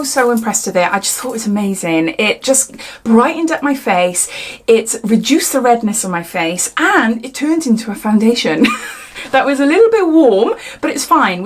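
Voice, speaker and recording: Chatty British woman in her forties. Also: a little high. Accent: British English. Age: 40s. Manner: chatty and rambling, with frequent laughs. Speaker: beauty vlogger. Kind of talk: casual makeup tutorial chat.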